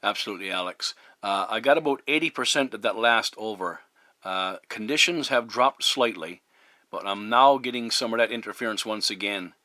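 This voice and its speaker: Bright, low-voiced man on the radio. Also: moderate pace, dry recording. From Newfoundland, Canada. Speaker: CB radio operator. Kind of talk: casual radio conversation.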